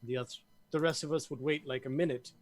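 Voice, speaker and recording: Man, mid-pitched, hesitant player voice, faint background hum. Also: fairly even intonation, brisk. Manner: hesitant, reasoning aloud with fillers. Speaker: tabletop player in character. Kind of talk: casual roleplay chat.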